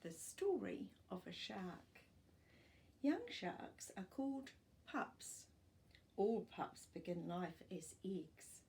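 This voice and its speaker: An older woman reads a non-fiction book to children, pausing to explain things in clear phrases. Her delivery is slow and animated, with pitch that rises and falls freely. There is some creak in her voice. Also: slight background noise. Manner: expressive, clearly phrased, explaining to young listeners. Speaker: storytime host reading aloud. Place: small furnished living room, indoors.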